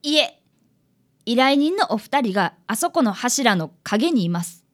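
A woman's voice: on the high side, sing-song, measured pace. A woman voicing an eager, polite eleven-year-old schoolboy who is a detective's apprentice in a community radio drama. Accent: Kansai.

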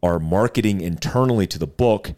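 A man's voice: deep voice, a little fast, darkish tone, no echo, clean studio sound. A man hosting a casual interview, reacting with enthusiasm and trailing off mid-sentence. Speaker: podcast host.

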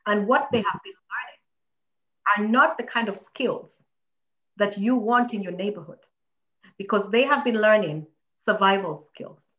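A woman's voice: medium pitch, measured pace, a bit bright. Woman host, emphatic, repetitive, filler-heavy, dull muffled audio. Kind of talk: interview host making an appeal.

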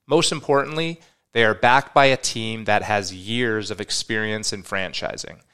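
A man's voice: lowish voice, moderate pace, pushed and bright, clean tone. A man hosting a podcast, opening the episode with enthusiasm and then asking questions in an upbeat, slightly rambling way. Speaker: podcast host. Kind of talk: podcast intro and interview.

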